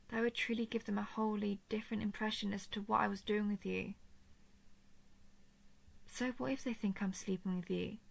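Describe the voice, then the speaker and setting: Woman acting as a royal lady dragon in an audio roleplay, creaky soft voice, playful n protective, kinda formal wording. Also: mid-range voice, close to an everyday voice. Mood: teasing. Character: royal female dragon, empath, teasing and tenderly protective. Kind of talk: intimate scripted audio roleplay addressed to listener.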